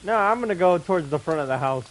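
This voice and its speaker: Male game streamer: high voice, very lively intonation, casual off-the-cuff commentary, faint game noise behind. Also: fast, poor audio. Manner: informal, reacting aloud to the game.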